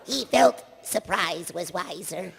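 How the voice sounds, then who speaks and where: Anxious cartoon underling officer, sharp, gravelly voice, exclaiming and fussing. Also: female voice, fairly low pitch, animated, slow, a little hiss or hum, full character voice. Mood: fretful. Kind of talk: comic live script reading. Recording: echoey convention hall.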